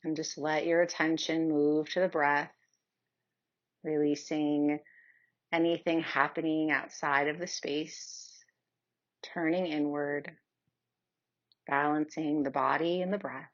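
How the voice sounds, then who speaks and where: A woman leading a guided yoga nidra meditation in a soothing, unhurried manner with long pauses. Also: low voice, flat delivery, dull audio.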